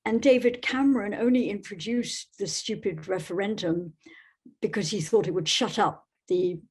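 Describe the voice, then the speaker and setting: A woman's voice: lowish voice, darkish tone, breathy edge. A woman from Scotland speaking as an interview guest in a thoughtful, hesitant way, searching for words. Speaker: podcast guest, novelist.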